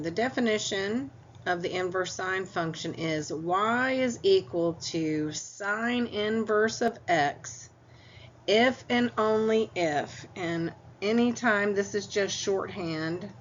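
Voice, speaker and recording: A woman teaching math in a recorded lecture, explaining each step patiently, with a stuffy nose and clearing her throat now and then. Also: mid-range voice, lively intonation, slow pace. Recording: dull lo-fi audio with faint hum.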